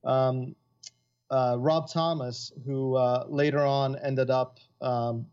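A man's voice: medium pitch, fairly even intonation, slow pace, a little mellow. Rambling Italian-born man, lo-fi sound. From Italy. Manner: rambling, many fillers and restarts. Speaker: guest composer. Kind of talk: casual interview chat. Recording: dull lo-fi sound.

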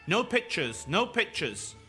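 A man's voice: high-pitched, sing-song, unhurried, bright, forceful tone, faint music behind, voice pushed up, full character voice. A man voicing an enraged, menacing gunman who roars his demands in a dramatic narrated story. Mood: shouting. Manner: roaring, menacing, spitting out demands. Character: enraged screaming gunman with a rifle.

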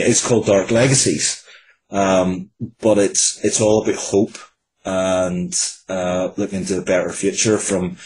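A man talking as a writer guest, explaining things in a halting way and repeating words. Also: low voice, darkish tone, slight background noise, low-quality recording. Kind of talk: explanatory interview talk.

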